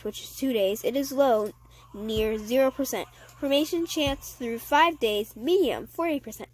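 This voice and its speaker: A woman hosting a casual weather update, reading forecast text aloud haltingly and sniffling with a cold. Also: a little high, low-quality recording. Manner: halting reading with stumbles and self-corrections. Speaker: weather video host.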